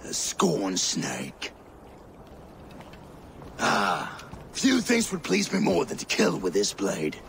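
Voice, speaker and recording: A man's voice: fairly low pitch, wildly swooping pitch, gravelly, fairly bright tone, a little hiss or hum, exaggerated voice. A male actor playing a cruel, gloating middle-aged Viking warlord in a video game, taunting with menace. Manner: menacing, taunting, drawn-out delivery. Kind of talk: dramatic video game acting.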